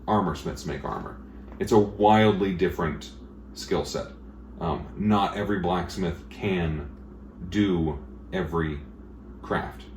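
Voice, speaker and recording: A man who teaches blacksmithing, talking in a casual interview with a booming, emphatic way of explaining things at length. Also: slow, a little mellow. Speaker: blacksmith instructor. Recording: echoey room with faint noise.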